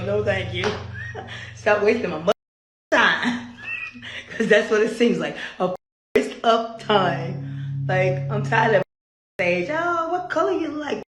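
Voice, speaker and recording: A hoarse woman with bronchitis, chatting in a sassy way about her dates in a story time vlog and laughing often. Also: mid-range voice, roomy sound, a little hiss or hum. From Atlanta. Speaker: vlogger.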